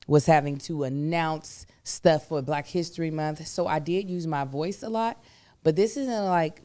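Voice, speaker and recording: A woman podcast co-host talking casually with a hoarse voice, candid and exasperated. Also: low-pitched, darkish tone, no echo. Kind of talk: casual chat.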